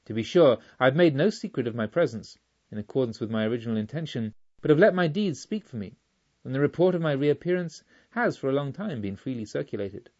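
A man voicing a dignified, menacing yet remorseful robber chief in an audiobook, speaking in a measured, formal way. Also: mid-range voice, darkish tone, muffled recording, natural voice. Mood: calm, resolute. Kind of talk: audiobook storytelling.

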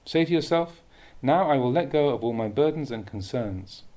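Mellow-voiced man reading a meditation teaching. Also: medium pitch, lively intonation. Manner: measured, deliberate phrasing. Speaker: Buddhist monk reading a translated dhamma talk. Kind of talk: read-aloud meditation teaching.

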